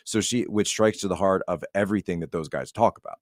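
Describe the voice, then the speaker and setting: A man with a deep voice talks in a dry, close-sounding recording. He rambles in a casual, opinionated podcast style, telling stories with animation and acting out what people said. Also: fast, dry recording.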